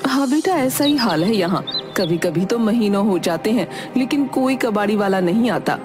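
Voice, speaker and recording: A woman's voice: mid-range voice, expressive, moderate pace, over music, close to an everyday voice. A woman voicing a homely, caring but nagging village mother in a cartoon story.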